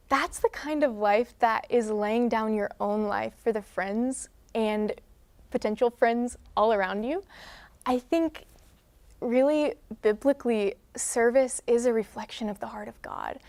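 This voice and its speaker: A woman's voice: fairly high pitch, a little mellow. A young woman speaking earnestly and reflectively as a guest in a faith interview. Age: young adult. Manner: earnest, measured, devotional. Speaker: guest, student leader.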